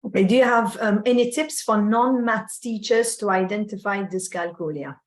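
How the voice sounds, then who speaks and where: A woman's voice: mid-range voice, moderate pace. Polite woman moderator reading questions. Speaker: webinar moderator.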